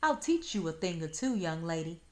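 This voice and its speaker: A woman's voice: lowish voice, very animated, normal speed. Woman voicing an exasperated, pompous storybook fox. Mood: indignant. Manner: pompous formal diction, stammering and pleading when rattled. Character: proud, exasperated male fox, pompous and increasingly desperate.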